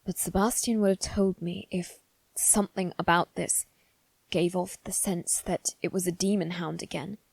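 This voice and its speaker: A woman voicing a haughty, anxious young noble boy in a halting, formal monologue for dramatic ASMR roleplay. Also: on the low side, fairly even intonation, creaky, a little mellow, slightly rough, no room sound, low-quality recording. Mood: hushed, fearful. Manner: halting, formal, self-reassuring delivery.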